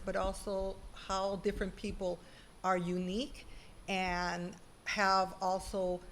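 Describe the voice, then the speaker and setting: A middle-aged woman speaks as a guest over a video call. Her voice sits in a middle pitch, and she tells her stories with animated emphasis. A faint hiss runs beneath her. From Puerto Rico. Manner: animated, emphatic storytelling with lively quoted asides. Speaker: guest, former school principal. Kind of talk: conversational interview.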